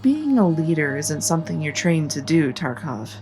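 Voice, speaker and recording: A woman's voice: low-pitched, big pitch swings, normal speed, a little mellow, faint music behind. A woman playing a supportive, teasing female soldier in a sci-fi audio drama, talking casually. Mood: reassuring.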